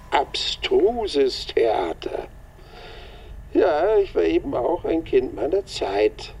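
An actor playing an older playwright who reminisces with wry self-mockery in a radio play. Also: male voice, fairly high pitch, very animated, drawn-out words, creaky, long pauses, darkish tone, husky, heavily acted. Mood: wry, reminiscent. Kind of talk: conversational radio play monologue.

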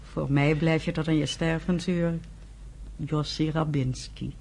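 A young immigrant wife in a radio drama. Her voice is husky and unpolished, and she talks in a dry, stubborn, contrary manner. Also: low voice, fairly even intonation, a little slow, a little mellow.

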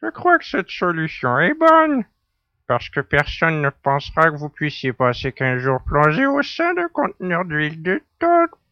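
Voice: mid-range voice, huge pitch swings, a little slow, no room sound, lo-fi sound. An actor playing a serene, assured alien native in an audio drama. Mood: calm, assured. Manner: tranquil, assured. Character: serene alien native, muscular, three-eyed, calm brotherly friend.

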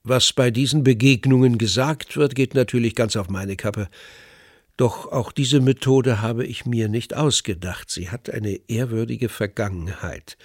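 A husky, measured writer-narrator. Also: male voice, fairly low pitch. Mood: reflective. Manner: measured, polite, explanatory. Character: courteous, inquisitive male writer interviewing the dead. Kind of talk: radio play dialogue and literary narration.

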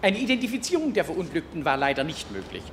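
Pompous director in a reverberant hall. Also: male voice, fairly high pitch, sing-song, fast. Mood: officious, insistent. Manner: pompous, insistent, formal. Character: self-important, officious male airline director. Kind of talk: 1950s German radio crime play acting. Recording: long hall reverb, faint background noise.

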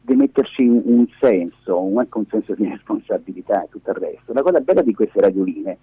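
A middle-aged man speaks as a radio guest, with a husky, lowish voice that rises and falls in a lively way. He explains things emphatically and digresses often, in spontaneous conversation. The phone audio is dull and echoey. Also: fairly quick. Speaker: interview guest, media activist and lab director. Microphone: phone held against face.

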